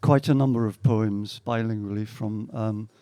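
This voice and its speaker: A man hosting a poetry event, with a soft, mellow tone and a fairly low pitch. He gives informal spoken introductions in an earnest, halting manner, and his voice sounds dry and close. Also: fairly even intonation, dry recording. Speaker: event host introducing poets. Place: outdoors, live poetry reading.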